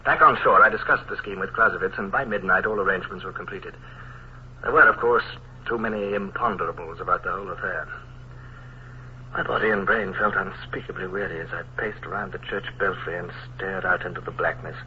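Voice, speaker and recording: A commanding naval commodore gives orders and narrates in an authoritative, even voice, played by a man. The sound is narrow and phone-like, with a faint hiss under it, as in a vintage radio serial. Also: low-pitched, fairly quick, lightly acted. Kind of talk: 1940s radio acting. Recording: tinny old radio broadcast.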